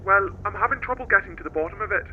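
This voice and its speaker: An old cab company boss in a radio play. He sounds high, brusque and baffled, squeezed through a thin cab radio filter. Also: rapid-fire, slight background noise. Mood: puzzled, brusque. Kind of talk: naturalistic radio drama acting. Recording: tinny, narrow cab radio line.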